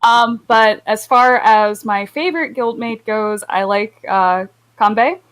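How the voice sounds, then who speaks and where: A woman's voice: a little high, unhurried, fairly bright tone. A woman giving her opinions in a casual club discussion, in a wry, hesitant manner. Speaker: anime club podcast panelist.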